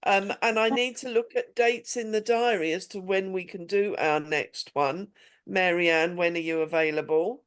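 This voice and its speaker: A woman hosting a readers' video call, brisk and organising as she wraps things up. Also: lowish voice, smooth. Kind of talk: casual video call chat.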